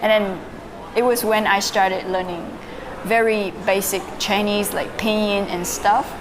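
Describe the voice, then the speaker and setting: A woman's voice: medium pitch, measured pace. Young Vietnamese woman chatting over noise. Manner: relaxed, many fillers and soft laughs. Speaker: guest. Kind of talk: casual interview, recounting her story. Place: live-streamed online call. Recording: audible background noise.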